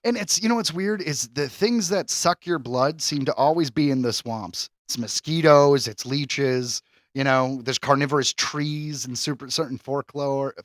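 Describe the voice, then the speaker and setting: A man's voice: mid-range voice, unhurried, no room sound, clean studio sound. A man co-hosting a podcast, chatting casually and animatedly, laughing often. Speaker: podcast co-host.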